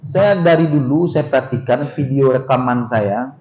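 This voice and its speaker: A man's voice: medium pitch, moderate pace, darkish tone. A middle-aged man with a Minangkabau accent giving a seminar lecture, talking conversationally and putting questions to the audience. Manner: conversational, asks the audience questions, frequent filler "eh" and "gitu". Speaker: university vice rector giving a seminar talk. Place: seminar room. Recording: dull roomy hall recording with faint noise.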